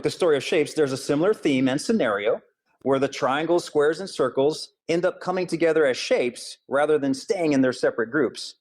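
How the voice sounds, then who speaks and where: A man's voice: on the high side, a little mellow. Earnest man explaining his ideas. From Hawaii. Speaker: author and school counselor. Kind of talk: interview answers.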